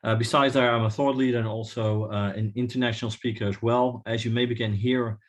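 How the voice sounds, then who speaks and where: A man's voice: medium pitch, steady tone, a little fast. A middle-aged man from the Netherlands with a Dutch accent, giving an earnest webinar presentation, full of fillers and restarts. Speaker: webinar speaker. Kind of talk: conference presentation. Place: video call.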